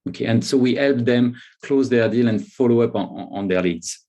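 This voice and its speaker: A man with a French accent, a native French speaker, answering as an interview guest in halting, repetitive conversational English. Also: mid-range voice, mellow. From France. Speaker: interview guest, startup CEO.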